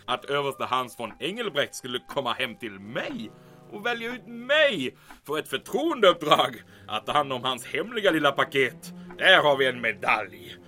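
An actor playing a vain, excitable German army major in an audio drama, pompous and gloating as he talks to himself. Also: mid-range voice, faint music behind. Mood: smug, delighted.

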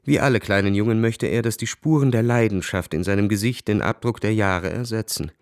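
An actor playing a cynical, self-possessed young aristocratic officer, narrating and speaking in a literary radio drama with a measured, detached manner. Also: male voice, on the low side, clear, resonant tone, natural voice. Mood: smooth, provoking.

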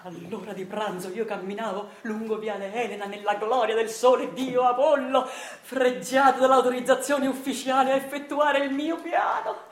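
A woman playing a self-styled intellectual husband who narrates a radio drama theatrically and deliberately, with a Neapolitan accent. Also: a little high, fairly even intonation, reverberant, lightly acted. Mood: proud recounting. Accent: southern Italian, Neapolitan. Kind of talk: theatrical scripted storytelling.

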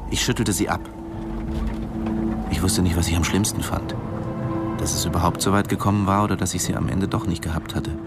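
A man acting a conflicted diving instructor with a low voice. He confides his story introspectively, with hesitations, in the intimate manner of a radio drama. Music plays clearly behind him. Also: steady tone, a little fast, pauses often, close to an everyday voice. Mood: regretful. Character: diving instructor, brooding, conflicted male narrator in an affair. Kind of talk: intimate first-person radio drama narration and dialogue.

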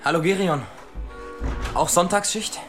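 Casual, higher-voiced policeman. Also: measured pace, over music. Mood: casual, curious. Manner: casual, inquisitive. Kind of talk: German radio play dialogue.

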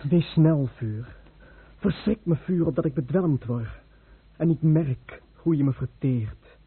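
An urgent, frightened man declaims loudly in a radio drama. His highish voice is very raspy, and the recording is muffled and tinny. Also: takes it slowly, exaggerated voice. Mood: desperate. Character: urgent, frightened man reporting a procession into fire. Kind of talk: heightened radio play acting.

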